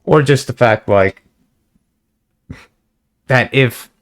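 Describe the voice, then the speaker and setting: A young man with a slightly hoarse voice, rambling casually in a monologue, full of fillers, restarts and swearing. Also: mid-range voice. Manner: halting, many fillers and restarts; casual and profane. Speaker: video response creator.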